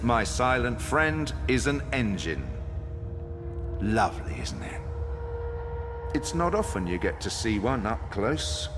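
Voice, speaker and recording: Video game villain god, smug, sardonic, theatrical, swooping intonation, unhurried, music underneath. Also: male voice, fairly low pitch, a bit bright, full character voice. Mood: smug, admiring. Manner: sardonic, savoring each word, self-satisfied. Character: banished trickster god villain, smug, theatrical, gloating, ancient. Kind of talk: theatrical dramatic monologue.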